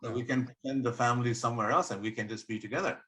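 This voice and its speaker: A middle-aged man with a medium-pitched voice in an informal group call. He puts forward suggestions and questions in a conversational way. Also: steady tone, rapid-fire, fairly bright tone, slight background noise. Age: 50s. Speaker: participant proposing travel plans on group call. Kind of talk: casual discussion.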